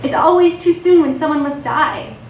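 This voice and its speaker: Woman actor as a wistful young poet in a radio play: high voice, unhurried verse, roomy theater. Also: a little mellow, close to an everyday voice. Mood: wistful, questioning. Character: poetic, wistful young female voice musing on life. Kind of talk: slow rhyming verse recitation in stage radio theatre. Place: theater stage, recorded from a distance. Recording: echoey theater, distant mic, faint noise.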